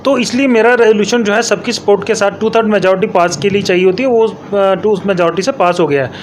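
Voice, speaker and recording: A man's voice: sits high, fairly even intonation, quick pace, a little hiss or hum. A man answering interview questions as an elected local politician, explaining emphatically and repeating his points with English terms mixed in.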